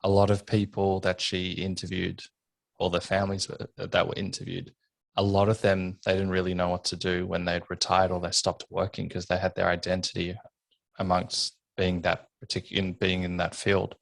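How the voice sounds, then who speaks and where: A very low-voiced man hosting a podcast, earnest and thoughtful as he reflects and asks questions. His voice is flat in tone and gravelly, recorded close and dry. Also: moderate pace, no echo. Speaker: podcast host. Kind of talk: casual podcast interview.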